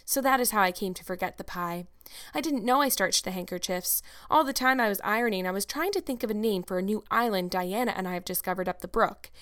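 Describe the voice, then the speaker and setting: A woman's voice: mid-range voice, quick pace, fairly bright tone, natural voice. A woman voicing an eager, imaginative young girl in a storybook, talking in an effusive, dramatic way. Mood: penitent, chatty.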